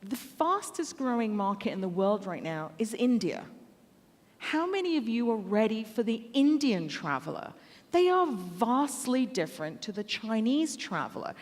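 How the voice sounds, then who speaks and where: A woman's voice: on the high side, sing-song, moderate pace. A woman from Hong Kong speaking on a conference panel, telling anecdotes and addressing the audience directly. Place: large conference hall. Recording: hall reverb.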